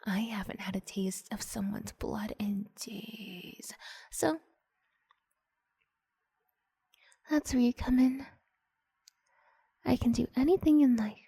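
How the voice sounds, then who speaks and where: Woman playing a breathy, coaxing young vampire girl. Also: on the high side, steady tone, dry recording, exaggerated voice. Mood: playful, menacing. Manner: hushed, halting, often slipping into breathy whisper. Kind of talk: ASMR roleplay, improvised.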